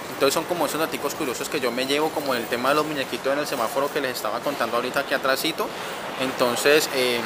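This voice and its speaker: A young man with a Colombian accent, vlogging his travels to camera in a chatty, enthusiastic way. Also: medium pitch. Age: young adult. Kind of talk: casual travel vlog to camera. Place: outdoors at a stadium and a bus station. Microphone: phone or camera held at arm's length. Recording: outdoor city noise, traffic. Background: loud urban ambience and vehicles.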